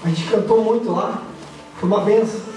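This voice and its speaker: A hoarse man with a Brazilian accent who has a cold, preaching to young people at church in a casual, slangy way. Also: high voice, fairly even intonation, brisk, mellow, faint music behind. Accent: Brazilian Portuguese. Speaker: youth preacher. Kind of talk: youth sermon. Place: church hall. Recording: echoey church from far off.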